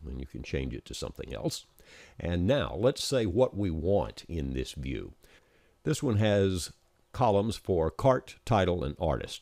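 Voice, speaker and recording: A man with a deep, mellow voice walks through software steps as an instructor. His intonation moves around a good deal while he explains each click in an informal, practical way. It is a screencast-style voice-over. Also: no echo. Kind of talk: informal step-by-step screencast narration.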